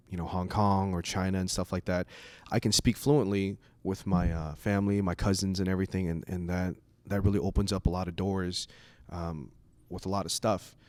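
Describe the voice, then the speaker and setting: A man's voice: low voice, darkish tone, no echo. A man from the United States whose first language is Cantonese, speaking as a comedian in a casual, rambling, profane monologue. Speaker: stand-up comedian.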